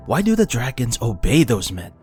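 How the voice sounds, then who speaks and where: A man voicing a calm, knowing fantasy adventurer who talks in measured, rhetorical phrases. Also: medium pitch, faint music behind, voice pushed up, natural voice. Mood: calm, pondering.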